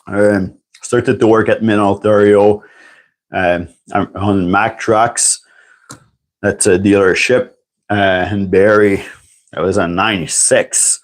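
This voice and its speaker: A man's voice: fairly low pitch, steady tone, slow. A man, chatting casually on a livestream and answering viewer questions. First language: French. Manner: rambling and chatty, answers viewer questions, clears throat often. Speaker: livestream host.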